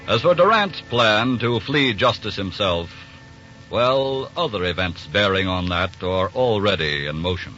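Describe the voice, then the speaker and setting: An American man narrating a 1940s radio crime drama in a grave, dramatic, deliberate style. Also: on the low side, lively intonation, music in the background. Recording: muffled old radio recording.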